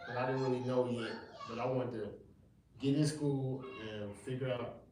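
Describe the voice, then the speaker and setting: A man in his thirties rambles casually through a livestream interview, full of slang and restarts. His voice sits at an ordinary middle pitch. It echoes heavily around the room, and the mic sits well away from him, with a faint hiss underneath. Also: steady tone, normal speed, recorded from a distance. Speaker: gospel rapper guest.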